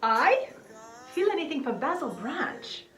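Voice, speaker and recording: A woman's voice: high-pitched, very animated, measured pace, a bit bright, faint music behind, heavily acted. Woman playing genteel lady, distant and echoey. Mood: guarded surprise. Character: reserved, genteel Englishwoman, secretly in love. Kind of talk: amateur dramatized novel reading. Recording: roomy, distant microphone.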